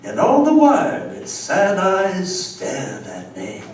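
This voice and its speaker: A man's voice: high-pitched, wildly swooping pitch, slow, background noise, full character voice. A man playing a haunted colonial Englishman who narrates a horror tale in a theatrical, suspenseful on live radio theatre. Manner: theatrical, suspenseful, dwells on words. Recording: echoey hall, distant mics, live audience.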